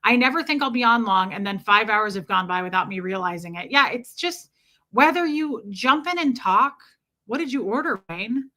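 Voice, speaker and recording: A woman hosting a casual livestream, chatting warmly and greeting viewers by name as she answers their comments. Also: fairly high pitch, expressive, normal speed. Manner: chatty and warm toward viewers, many fillers. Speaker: livestream host.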